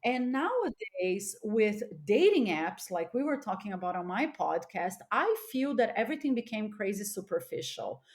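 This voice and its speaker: A woman's voice: mid-range voice, sing-song, moderate pace, few pauses. A middle-aged woman from Los Angeles chatting as a podcast guest, candid, informal and emphatic. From Los Angeles, United States. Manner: emphatic, informal with frequent "like" and "you know". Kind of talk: casual, candid chat.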